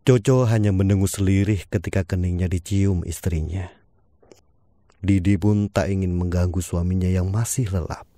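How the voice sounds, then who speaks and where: A man narrating an audio drama in a calm, measured storytelling manner. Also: deep voice, no echo.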